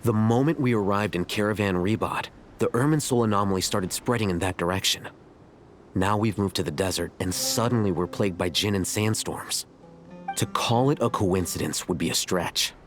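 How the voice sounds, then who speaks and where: An actor plays a shrewd game advisor with a fairly low voice. He lays things out in a measured, analytical way over faint music. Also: close to an everyday voice. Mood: wary, analytical. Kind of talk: video game dialogue acting.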